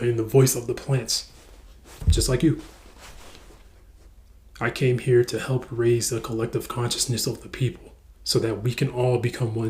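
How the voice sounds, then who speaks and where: A man's voice: mid-range voice, a little mellow. A young man from the United States, talking earnestly in a reflective personal vlog and stumbling over words with frequent repeats. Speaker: vlogger.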